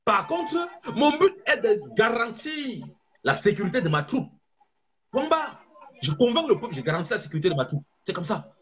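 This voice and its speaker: A man giving an impassioned political speech as an activist, fervent and preaching, stressing slow phrases. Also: high voice, sing-song, fairly bright tone, faint music behind.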